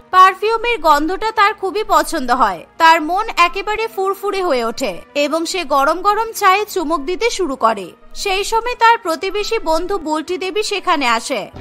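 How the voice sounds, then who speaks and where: A woman tells a scripted cartoon story as narrator. Her voice is high-pitched and bright. Music plays behind her. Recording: music bed under the voice.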